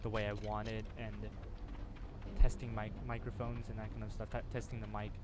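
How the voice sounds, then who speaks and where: A young man streaming a video game, chatting in a rambling, casual way with many fillers, keeping his voice quieter than usual to rest it. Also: on the low side, little pitch movement, brisk, very noisy. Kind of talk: casual gameplay commentary. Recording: voice over loud game music.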